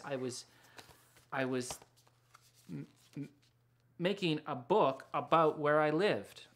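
A man in his thirties chats informally about his own work as an author. He is soft-spoken and reflective, feeling his way through phrases in a medium-pitched voice. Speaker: children's picture book author and illustrator. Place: small indoor studio lined with books and records.

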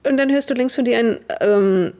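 A woman's voice: fairly high pitch, normal speed, dark tone. A young woman narrating and refereeing a tabletop roleplaying game as game master on an online video call.

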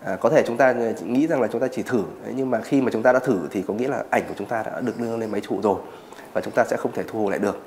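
A man in his thirties explaining as an expert in an interview, pausing on filler words. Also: mid-range voice, darkish tone, slight background noise.

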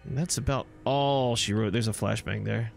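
A man's voice: fairly low pitch, normal speed, gravelly. A man giving casual gameplay commentary, informally thinking aloud as he plays. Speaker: gaming video host. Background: loud game music and sound effects.

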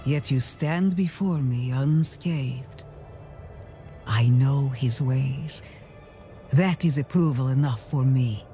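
A stern high priestess speaks in a low, slow voice full of solemn zeal. She sounds commanding and reverent, as in a fantasy game cutscene, over faint music. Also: mellow. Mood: solemn, devout. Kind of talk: dramatic video game cutscene acting.

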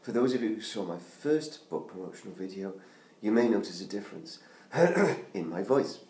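A middle-aged man from the UK, hoarse from laryngitis, chatting casually with many ums and restarts as he promotes his book from his living room. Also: lowish voice, lively intonation, normal speed, mellow. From United Kingdom. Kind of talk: casual self-made promo video. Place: living room at home by a Christmas tree. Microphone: tablet camera at close range. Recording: roomy home sound with faint noise.

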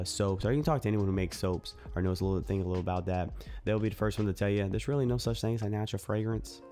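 A man's voice: sits low, darkish tone. A young man in casual talk to camera, reviewing a soap in an easygoing, informal way with lots of fillers, recorded in a small room on a desk mic. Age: young adult. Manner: chatty, informal, many fillers and asides. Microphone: desk microphone in front.

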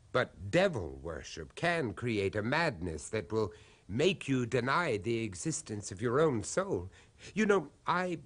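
An actor playing a grieving 19th-century father searching for his lost son in a 1970s radio horror play, speaking gravely and deliberately. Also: medium pitch. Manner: grave, dramatic, deliberate phrasing.

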